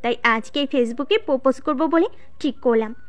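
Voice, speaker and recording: A woman's voice: sits high, a little slow, a little hiss or hum. A woman playing a shy, easily piqued student who narrates her own story in a scripted audio drama. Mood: earnest, wistful. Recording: music behind the voice.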